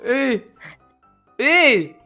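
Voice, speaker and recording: A young man with an Egyptian accent commentating excitedly on Minecraft gameplay, animated and exclamatory as he addresses viewers. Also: extremely high pitch, very slow, gravelly. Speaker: gaming YouTuber and host. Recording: music playing behind the voice.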